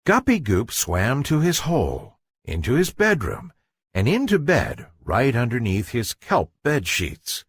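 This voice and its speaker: Guy reading a bedtime story for kids, slightly rough husky voice, slow, super dramatic. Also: medium pitch, wildly swooping pitch, dry recording, studio quality. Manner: dramatic, builds suspense. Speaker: children's storyteller. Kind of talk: expressive scripted bedtime story narration.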